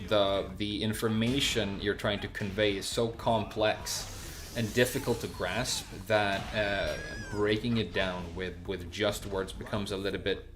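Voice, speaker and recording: Low-voiced male streamer, halting and off-the-cuff. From Sweden. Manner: halting, searching for words with repeated false starts. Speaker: live streamer. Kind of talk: casual unscripted livestream chat and game commentary.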